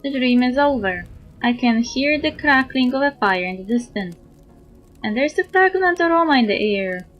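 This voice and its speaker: A woman's voice: sits high, expressive, moderate pace, muffled recording. A woman from Europe livestreaming a game, reading dialogue aloud and chatting with her viewers. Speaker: livestreamer, VTuber. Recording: music behind the voice.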